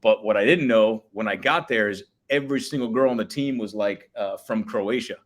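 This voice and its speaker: A man in his thirties, a public address announcer with a booming voice, chatting casually in an interview. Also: normal speed. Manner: booming voice, slips into announcer calls.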